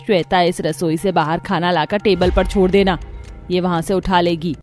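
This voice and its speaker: A woman's voice: on the low side, fairly even intonation, normal speed, a little mellow, pitched below the performer's own voice, lightly acted. Cartoon villain mother-in-law voiced by a woman: middle-aged, harsh, sarcastic, scolding, over music. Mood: scolding, grudging.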